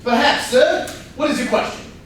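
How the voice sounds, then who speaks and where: Actor as stiff butler in an echoing room. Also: male voice, extremely high pitch, normal speed, fairly bright tone. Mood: curt. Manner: clipped, formally polite. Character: stiff, curt, formal butler. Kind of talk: staged comedy play reading. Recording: very echoey room, distant mic, faint noise.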